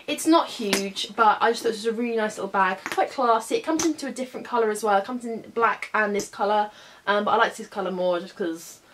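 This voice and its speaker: A young woman, slightly hoarse from a cold, chatting casually and upbeat through a shopping haul vlog, full of fillers. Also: mid-range voice, brisk, a bit bright, slight background noise. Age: young adult. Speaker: beauty vlogger.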